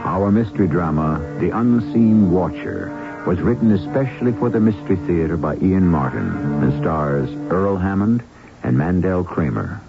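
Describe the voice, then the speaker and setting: A man with an American accent narrating a 1970s radio mystery as its ominous, theatrical host, phrasing deliberately. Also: low voice, lively intonation. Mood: measured. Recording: muffled phone-like old radio, music underneath. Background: music.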